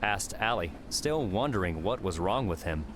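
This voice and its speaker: Male narrator, deep low pitch, measured storytelling, scripted audiobook fiction, clear ambient noise behind. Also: lively intonation.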